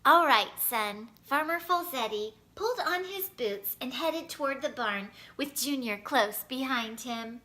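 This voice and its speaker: Female children's storyteller, high and bright, expressive scripted narration, animated delivery. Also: measured pace, few pauses.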